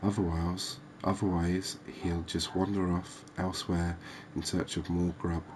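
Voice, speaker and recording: Male ASMR game host, soft and unhurried, very low register, monotone delivery. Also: background noise. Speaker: gaming video host.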